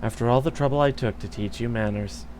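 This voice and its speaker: A man voicing a cold, aloof fairy queen who speaks quietly and condescendingly. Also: mid-range voice, quick pace, a little hiss or hum, natural voice. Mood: tender scorn.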